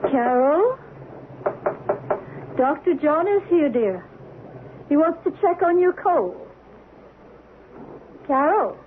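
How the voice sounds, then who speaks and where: A fretful mother in a radio suspense tale, her voice pitched very high. She speaks slowly and anxiously. The recording is thin, old and clearly noisy. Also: animated, narrow, tinny audio. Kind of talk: radio drama acting.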